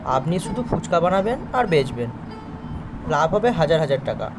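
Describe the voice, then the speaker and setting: Man acting a smooth-selling city businessman, slightly high voice, confident, persuasive, cartoon story, music underneath. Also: sing-song, moderate pace. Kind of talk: children's cartoon dialogue. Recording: music behind the voice.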